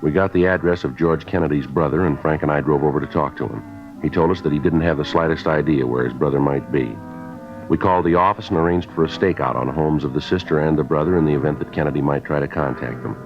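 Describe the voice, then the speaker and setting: An actor playing a terse, businesslike police detective in a 1950s radio drama, deadpan and clipped, on a dull vintage recording. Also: male voice, very deep voice, quick pace, music in the background. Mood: matter-of-fact.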